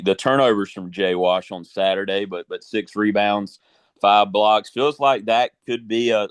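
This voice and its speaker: A man's voice: on the low side, no room sound. A man asking questions as a sports reporter on a press call.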